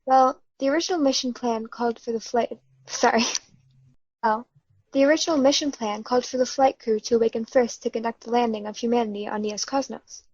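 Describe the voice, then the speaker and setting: A dull, close and dry recording of a woman playing an earnest sci-fi mission explainer. The voice is fairly high, reading audio-drama exposition in careful, scripted sentences. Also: steady tone, brisk, darkish tone, no room sound, lightly acted. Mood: earnest, explanatory. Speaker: student actor.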